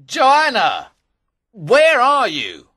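Storybook villain for a male actor: stern scheming knight, forceful and theatrical, unhurried, very animated intonation. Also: mid-range voice, no room sound, studio quality, heavily acted. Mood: furious, calling out. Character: angry, scheming knight and his gruff henchmen. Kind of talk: dramatized audiobook dialogue.

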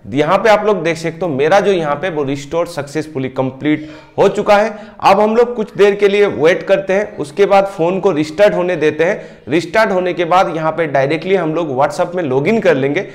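A man in his thirties walking viewers through a software tutorial step by step, speaking directly to them. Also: on the high side, roomy sound. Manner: steady step-by-step explaining, addresses viewers directly. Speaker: tech tutorial host. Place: indoor room, screen-recording overlay.

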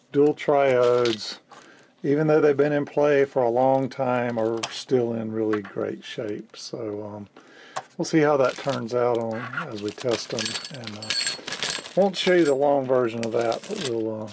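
A man in his fifties giving a casual hands-on demonstration at a workbench indoors, explaining in a halting way as he thinks aloud. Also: mid-range voice, a little mellow, slight background noise. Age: 50s. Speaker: electronics restorer and presenter.

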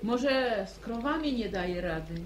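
A woman's voice: a little high, animated, unhurried. An actress playing an anxious, pious farm mother in a radio play. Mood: worried. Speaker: radio drama character. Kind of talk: 1950s radio play acting. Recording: noisy old radio recording.

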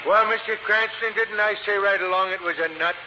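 An actor playing a humble, dopey, chatty cab driver in old radio-drama style. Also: male voice, high voice, fairly even intonation, fairly quick, close to an everyday voice. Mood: chipper. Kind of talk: 1940s radio acting. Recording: muffled, noisy old radio broadcast.

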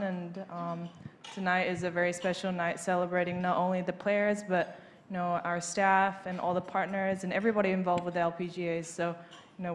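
A young woman in her twenties talking casually on stage into a handheld mic, relaxed, with lots of fillers and light laughs. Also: fairly low pitch. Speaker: golfer being interviewed on stage. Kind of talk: casual interview. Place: large banquet hall stage. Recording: reverberant hall, faint noise.

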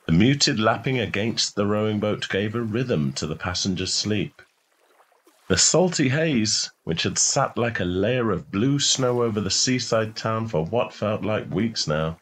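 A man narrating a short story in a measured, expressive literary reading style. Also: fairly low pitch.

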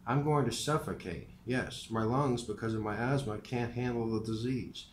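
A man voicing a frail, dying old male professor who speaks gently and calmly in an unhurried way. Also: mid-range voice, a little hiss or hum, close to an everyday voice. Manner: gentle, unhurried, softly earnest. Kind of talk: audiobook character voice. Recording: roomy echo with faint ambience.

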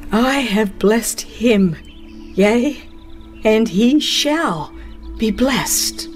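A woman's voice: lowish voice, darkish tone. A woman narrating a religious book in a solemn, formal, dramatized reading. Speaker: audiobook narrator.

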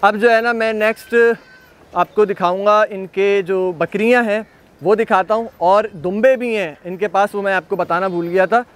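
A man's voice: sits high, steady tone, normal speed. A man hosting an on-site walkthrough of livestock, chatting casually and repeating praise often. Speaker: livestock video host. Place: outdoor goat pen at a farm.

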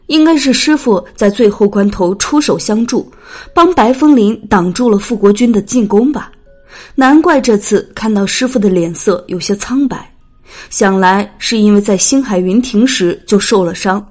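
A woman's voice: medium pitch, darkish tone. A woman reading scripted, dramatic narration as an audiobook narrator.

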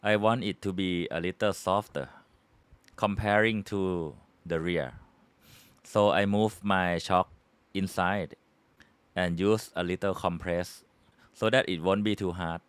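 A man's voice: low-pitched, fairly even intonation, takes it slowly, clean tone, no echo. A man from Thailand with a Thai accent, hosting his hobby channel and patiently explaining a how-to in simple English. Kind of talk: casual how-to tutorial.

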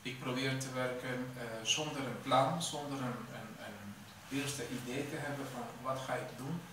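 A middle-aged man, an artist answering interview questions in a halting way, searching for words. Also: mid-range voice, fairly even intonation. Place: indoor art gallery with bare floor. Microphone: camera a few metres away. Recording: echoey room, distant mic, clear background noise.

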